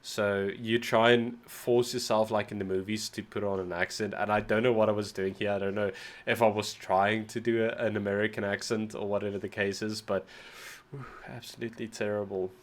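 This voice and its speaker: A man's voice: on the low side, level tone, fairly quick. A South African man whose first language is Afrikaans, slightly sick, chatting casually and rambling as the host of a music reaction channel. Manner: rambling, self-deprecating, often restarts words. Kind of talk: casual reaction video chat.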